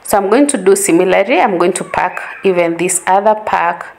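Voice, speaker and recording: A woman's voice: medium pitch, brisk, vocal fry, a little mellow. A woman with a Kenyan English accent explains, step by step, how to clean fish in her home kitchen, casually and instructively, repeating her advice for emphasis. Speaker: home cooking vlogger.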